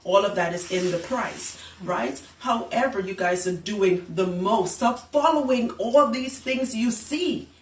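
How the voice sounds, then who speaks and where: A woman's voice: medium pitch, unhurried, hardly any pauses, a little hiss or hum. A woman hosting a casual live stream about hair care, blunt and teasing, who laughs often. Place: indoors in a room, live broadcast. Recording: roomy, distant live-stream audio.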